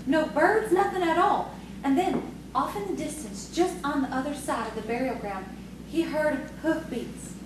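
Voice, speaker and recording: A woman's voice: high voice, moderate pace, close to an everyday voice. A woman playing a coy, proud young farmer's daughter in audio theatre, with an earnest storytelling manner. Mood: earnest, suspenseful. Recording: distant, very echoey, noisy stage recording.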